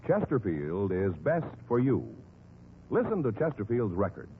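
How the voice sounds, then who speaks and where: A man delivering an emphatic 1950s radio advertising pitch as a commercial announcer. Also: very animated, measured pace. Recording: tinny, hissy vintage radio recording.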